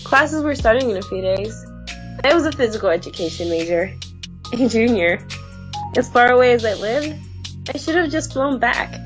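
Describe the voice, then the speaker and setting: An actress playing a friendly young college student among survivors in a comic dub. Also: female voice, on the high side, animated, moderate pace, natural voice. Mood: nostalgic. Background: loud background music.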